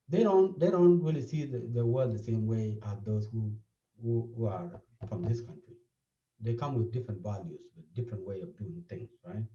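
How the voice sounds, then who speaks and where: South Sudanese man with a lively, earnest voice. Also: mid-range voice. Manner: earnest, explaining, repeats key points. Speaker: therapist. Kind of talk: interview. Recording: dull, echoey, from a distance.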